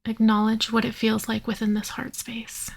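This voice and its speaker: A woman guides a relaxation meditation in a fairly high voice. Her delivery stays extremely flat and level, with a creaky edge. She is soothing and unhurried, leaving long pauses between instructions. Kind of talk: guided yoga nidra meditation.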